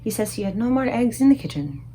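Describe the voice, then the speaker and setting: A woman voicing a deferential male storybook butler, speaking in a hushed, apologetic way. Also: fairly high pitch, animated, fast, slight background noise, lightly acted. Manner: whispering, apologetic.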